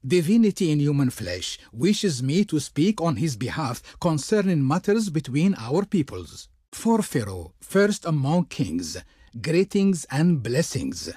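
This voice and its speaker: An actor playing a proud, ceremonious Egyptian emissary in a video game, declaiming flowery, servile words with formal reverence. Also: male voice, fairly high pitch, warm tone, slightly rough, dry recording, clean studio sound, full character voice. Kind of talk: theatrical video game character dialogue.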